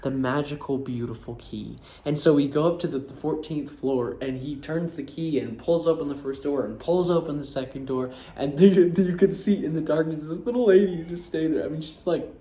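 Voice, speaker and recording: An American man in casual storytelling, animated and laughing as he acts out what people said. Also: fairly high pitch, moderate pace, few pauses. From United States. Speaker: vlogger.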